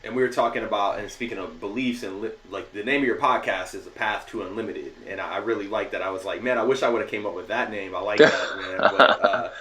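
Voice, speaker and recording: A man's voice: fairly low pitch, fast talker, few pauses, bright, forceful tone, reverberant, far from the mic, poor audio. A man hosting a podcast in upbeat, casual chat, often calling his guest "man". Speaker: podcast host.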